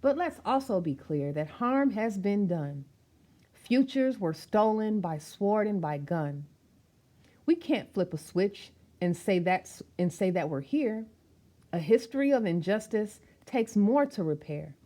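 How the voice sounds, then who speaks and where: Expressive, mellow-voiced woman reading a storybook aloud. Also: mid-range voice, unhurried. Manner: expressive, addresses young listeners directly. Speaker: storytime reader. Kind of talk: read-aloud of a rhyming children's picture book with chatty asides.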